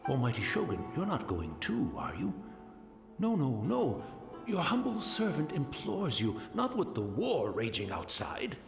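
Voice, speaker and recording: A man's voice: medium pitch, wildly swooping pitch, unhurried. Humble fussy old man from a game, very polite, voice all over the place, echoey with music. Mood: pleading. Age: older. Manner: formal, deferential, sighing, flowery wording. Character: frail, courtly old tea master, humble and fretful. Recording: roomy echo, game music behind.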